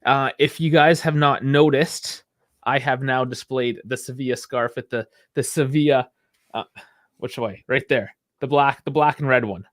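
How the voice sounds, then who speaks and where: A man's voice: medium pitch, moderate pace, no room sound. A man in the middle of his range chats loosely as a podcast co-host, rambling between points and laughing often. His voice sits dry and close, with no room sound around it. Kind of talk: casual sports chat.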